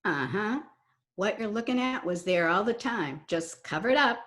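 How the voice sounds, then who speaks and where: A woman's voice: medium pitch, lively intonation, moderate pace, a bit bright, lightly acted. A woman playing a brassy, wisecracking Broadway dame with sardonic slang, in old-time radio drama style. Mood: firm. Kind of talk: 1940s radio drama acting.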